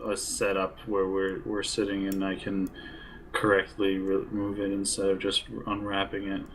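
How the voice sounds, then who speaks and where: A man playing in a group role play game, talking through decisions in a hesitant, measured way. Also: low-pitched, completely flat delivery, a bit bright, a little hiss or hum. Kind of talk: in-character group game discussion.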